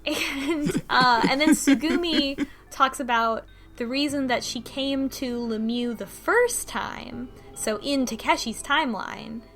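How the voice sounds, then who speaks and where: A woman's voice: on the high side, a bit bright. Woman recapping a story, rambling. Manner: rambling, many ums and restarts, laughs often. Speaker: podcast co-host recapping a visual novel. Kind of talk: casual recap chat.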